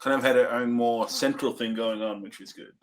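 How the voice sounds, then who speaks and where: A man's voice: medium pitch, fairly even intonation, fairly quick, a little hiss or hum, rough recording. A man co-hosting a casual movie review, giving loose, hesitant opinions with frequent ums and restarts. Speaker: co-host.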